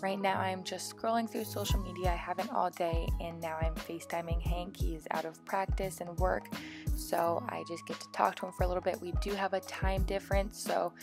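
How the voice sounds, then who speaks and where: A woman's voice: fairly low pitch, flat delivery, normal speed, creaky. A young American woman vlogger narrating her daily routine in a casual, chatty voice-over. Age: young adult. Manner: chatty, informal. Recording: voice-over with background music. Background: music.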